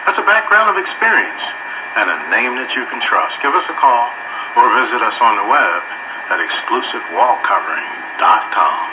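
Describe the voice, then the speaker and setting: A man's voice: medium pitch, very animated, moderate pace, narrow, tinny audio. A man reciting a memorized commercial in announcer-like sales patter over two-way radio. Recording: very noisy, echoey band-limited radio.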